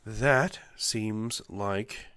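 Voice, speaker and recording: A man's voice: fairly low pitch, extremely slow, clean tone, no room sound. Stuffy-nosed man narrating his gameplay. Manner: relaxed, thinking aloud while building. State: stuffy nose from a cold. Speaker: let's play host. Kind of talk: casual gameplay commentary.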